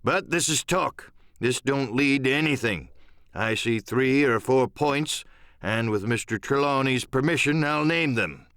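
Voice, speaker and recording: A man's voice: medium pitch, unhurried, fairly bright tone, dry recording, studio quality. A man playing a stern, plain-spoken middle-aged ship's captain in an audiobook drama, firm and clipped in manner. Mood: grave, resolute. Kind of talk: audiobook radio-play character acting.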